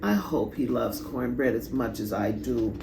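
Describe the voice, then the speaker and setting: A woman reading a children's story aloud in a dramatic, deliberate way with pauses. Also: a bass voice, lively intonation. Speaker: storybook reader. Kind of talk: expressive children's read-aloud. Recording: echoey room, clear background noise.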